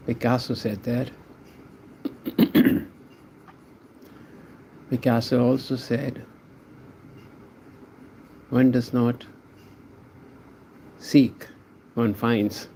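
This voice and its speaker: Slow gravelly man chatting. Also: medium pitch, steady tone, mellow, slight background noise, poor audio. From Pakistan. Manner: laid-back, chatty, answers viewers by name. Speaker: art livestreamer. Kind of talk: casual chat while drawing, reading viewer comments.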